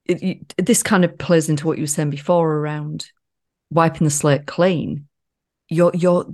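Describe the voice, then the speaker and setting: Female podcast co-host, very low and gravelly voice, playful and jokey. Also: a little mellow. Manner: jokey, playful, swears casually. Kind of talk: casual podcast chat.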